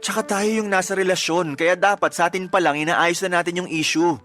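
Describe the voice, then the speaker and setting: A defensive young boyfriend. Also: male voice, high voice, steady tone, brisk, a bit bright, soft background music. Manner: defensive, pleading. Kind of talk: radio drama acting.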